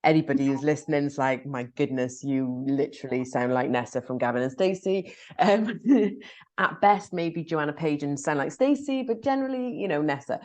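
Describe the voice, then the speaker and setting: A woman with a Welsh accent co-hosting a podcast, talking in a lively, frank and chatty way with quick asides. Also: deep voice. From Wales. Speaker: podcast co-host. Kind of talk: casual podcast discussion.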